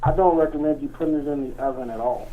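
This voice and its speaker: A woman's voice: sits low, quick pace, narrow, tinny audio. A woman working in a store, answering a customer's call in a polite, hesitant way. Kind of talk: unscripted customer phone conversation.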